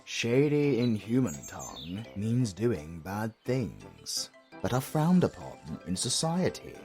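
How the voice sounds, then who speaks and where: A man acts a retired British supervillain in an audio comedy. His voice is on the low side and unhurried, with big theatrical swings of pitch. He is sardonic, wordy and pompous, like a put-upon gentleman. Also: wildly swooping pitch, faint music behind, exaggerated voice. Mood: patient, explaining. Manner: dry, sardonic, pompous, articulate. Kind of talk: acted comedy audio roleplay.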